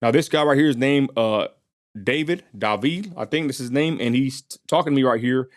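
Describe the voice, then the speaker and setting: A man's voice: mid-range voice, moderate pace, no room sound, studio quality. A man from West Texas narrating his street interview in a casual voice-over, full of fillers and asides to viewers. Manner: folksy, addresses viewers as y'all, many fillers.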